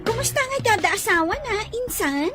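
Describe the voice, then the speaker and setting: Woman acting a high, swooping, nosy relative. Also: lively intonation, fairly quick, over music. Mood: teasing. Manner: inquisitive, teasing. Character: nosy, teasing female relative. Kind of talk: Ilocano audio comedy drama acting.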